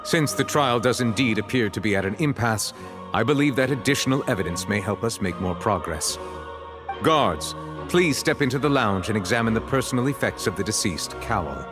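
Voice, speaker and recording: A man's voice: on the low side, expressive, a little fast, close to an everyday voice. A man voicing a stern, authoritative judge in a video game, speaking in a formal, stately and commanding manner. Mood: measured authority. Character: stern, authoritative male judge presiding over a trial. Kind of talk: theatrical video game voice acting. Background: game music.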